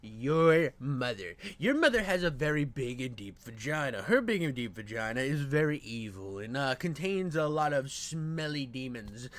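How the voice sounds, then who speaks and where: A man giving casual reaction commentary as a host, rambling in crude slang with an expressive delivery. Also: medium pitch, normal speed, hardly any pauses.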